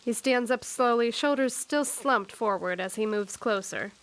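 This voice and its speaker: A woman's voice: on the high side, normal speed, a bit bright, natural voice. A woman narrating an audio drama, scripted storytelling broken by informal asides.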